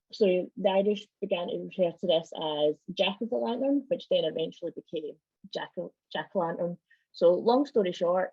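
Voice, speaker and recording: A woman's voice: fairly low pitch, husky, no echo. A woman with a Scottish accent giving an informal, wry presentation on folk traditions over a video call. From Scotland. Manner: wry, joking asides. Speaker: club member giving a presentation. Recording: slightly dull video-call audio.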